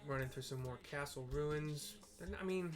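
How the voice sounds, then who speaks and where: A man's voice: fairly high pitch, normal speed, over music. A man giving casual, hesitant gameplay commentary, thinking aloud as he describes what happens on screen. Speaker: gameplay streamer. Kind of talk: casual game commentary with narrated descriptions of the action.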